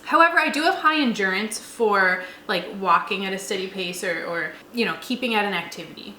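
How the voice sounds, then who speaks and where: Female co-host, mid-pitched and bright, informal chat, roomy recording with a little noise. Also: lively intonation, brisk. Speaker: co-host of a tabletop roleplaying channel. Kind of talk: casual chat. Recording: roomy echo, faint background noise.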